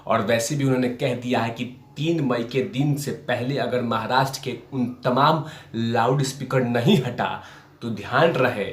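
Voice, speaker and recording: A man hosting a political news commentary, speaking emphatically and repeating phrases for effect. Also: medium pitch. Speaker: host of a political commentary show. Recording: roomy, echoing sound.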